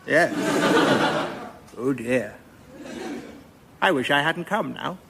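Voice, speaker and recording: A man's voice: medium pitch, animated, takes it slowly, vocal fry, heavy background noise. An actor playing a flustered, pedantic Cold War negotiator in radio sketch comedy, hesitant and emphatic as he bickers. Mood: rueful.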